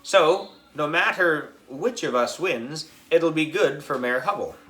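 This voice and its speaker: Man as competitive little girl, emphatic, sharp bright tone, lively pitch, children's audiobook. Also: a little slow, soft background music. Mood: mad. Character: sharp, competitive third-grade girl, indignant rival.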